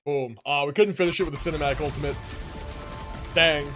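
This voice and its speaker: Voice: mid-range voice, brisk. A young adult livestreamer giving casual, slangy, exclamatory commentary while reacting to gameplay. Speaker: video game livestreamer. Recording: game music behind the voice. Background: video game music and sounds.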